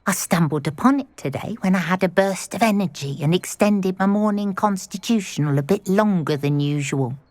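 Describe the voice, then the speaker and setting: A woman's voice: lowish voice, moderate pace, hardly any pauses, a little mellow, no echo, close to an everyday voice. A woman voicing a chatty, genteel older widow in a video game, wordy and formal. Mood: conspiratorial, eager. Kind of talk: video game dialogue acting.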